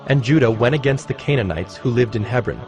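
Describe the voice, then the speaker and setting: A man narrating scripture from a script in a measured, formal manner. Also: mid-range voice, a little mellow. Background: music.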